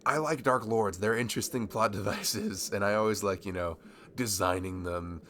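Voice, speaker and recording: A man's voice: lowish voice, moderate pace, a bit bright, lightly acted. A man playing a shy, nerdy young man in a romantic ASMR roleplay, rambling and stammering toward a longtime friend. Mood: giddy, nerdy. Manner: rambling, hesitant, stammering, nervous laughs. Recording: clearly audible background noise.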